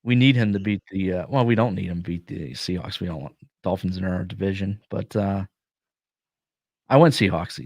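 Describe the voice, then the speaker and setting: A man's voice: deep voice, normal speed, a little mellow, no echo, clean studio sound. Man with a worn, fading voice. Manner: opinionated, jokey football picks. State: losing his voice. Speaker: podcast host. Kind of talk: casual sports podcast chat.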